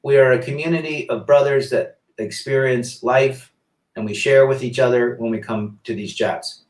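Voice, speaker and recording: Echoey livestream host reading chat. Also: male voice, mid-range voice, fairly even intonation, normal speed, darkish tone, recorded from a distance. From south-central Pennsylvania, US. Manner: reads viewer comments aloud and answers them. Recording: echoey room.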